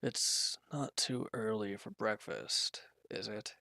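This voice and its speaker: A man acts out an injured villain in an intimate roleplay audio drama. The voice is low and heavy with creak, recorded dry and close. The delivery is weary and halting, guarded and grudging, yet quietly considerate. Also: no room sound, full character voice. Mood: weary, reluctant.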